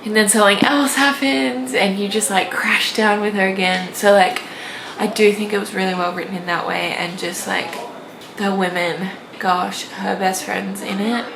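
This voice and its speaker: A woman's voice: medium pitch, fairly even intonation, normal speed, vocal fry. A young woman talking casually to camera in a gushing, informal, rambling way, like a vlogger. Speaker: book vlogger. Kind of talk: casual chatty vlog to camera. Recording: noticeable background noise.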